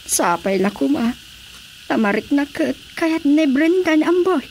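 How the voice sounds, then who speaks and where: An older village mother with a high voice and an Ilocano accent. She is concerned and gossipy, in radio drama comedy style. Faint noise sits behind her. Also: lively intonation, measured pace, a little mellow.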